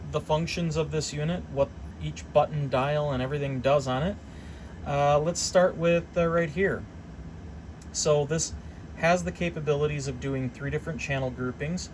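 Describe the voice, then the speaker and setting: A man with an average-pitched voice gives an informal, hands-on demonstration as a hobbyist repairer. He talks in a halting, think-out-loud way, unscripted and explanatory. A clear, steady background noise runs under his voice. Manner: halting, thinking aloud as he goes.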